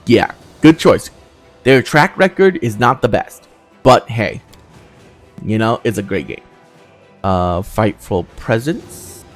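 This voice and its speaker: A man's voice: fairly low pitch, animated, slow, long pauses, soft background music. A man giving casual playthrough commentary as a gaming host, thinking aloud and swearing casually as he goes.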